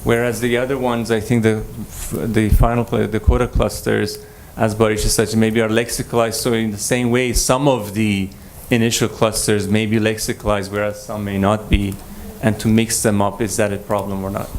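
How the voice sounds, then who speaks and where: A man's voice: on the low side, flat delivery, moderate pace, few pauses, darkish tone, a little hiss or hum. Man asking a rambling conference question. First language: Persian. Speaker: audience member.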